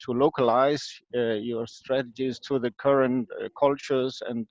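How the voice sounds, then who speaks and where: A man from Denmark with a Danish accent, explaining as an expert interview guest in a halting, hesitant way with frequent ums and pauses. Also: medium pitch, flat delivery, no echo. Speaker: guest expert, marketing professor. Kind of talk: unscripted explanation in an online interview. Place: video call. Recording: dull lo-fi online call audio.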